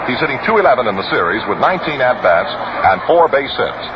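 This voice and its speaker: Vintage American radio baseball announcer, very noisy. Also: male voice, mid-range voice, sing-song, brisk, dull audio. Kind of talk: live 1960s radio sports commentary. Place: outdoor ballpark broadcast. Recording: noisy, band-limited vintage 1960 radio broadcast.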